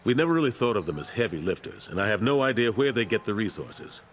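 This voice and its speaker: Older male councilor for a game, very low voice, gruff, weary but steadfast man acting, faint ambient noise. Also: animated, quick pace, lightly acted. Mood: matter-of-fact. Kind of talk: video game dialogue.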